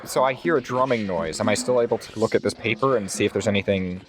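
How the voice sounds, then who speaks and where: A man's voice: fairly low pitch, quick pace, a crowd in the background. A man chatting casually as a player in a tabletop role-playing game.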